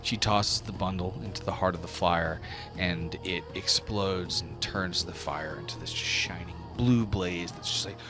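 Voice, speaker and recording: A man with a deep voice serves as game master for a fantasy tabletop session. He sets scenes in a vivid, dramatic way over clear background music. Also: fairly even intonation, a little slow.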